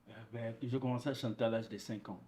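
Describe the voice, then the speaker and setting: A young man from Congo, a gospel singer, answering interview questions in an earnest, relaxed storytelling way. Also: mid-range voice, fast talker.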